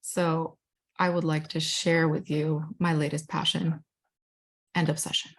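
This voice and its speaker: A woman's voice: low voice, little pitch movement, measured pace. A young woman from Guatemala whose first language is Spanish, chatting casually and enthusiastically as she presents her own artwork, with self-deprecating asides. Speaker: digital storytelling manager.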